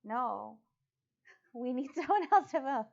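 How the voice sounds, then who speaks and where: A woman's voice: high voice, lively intonation, slow, gravelly. A woman co-hosting a livestream, chatting casually and playfully as she relays comments from viewers. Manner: playful, bantering. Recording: dull lo-fi livestream audio.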